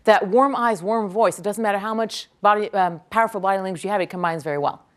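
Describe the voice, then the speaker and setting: French-born woman fielding audience questions. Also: medium pitch. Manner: energetic, quick to praise, repeats each question aloud. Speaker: speaker answering audience questions. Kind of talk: lecture Q&A. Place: university lecture hall.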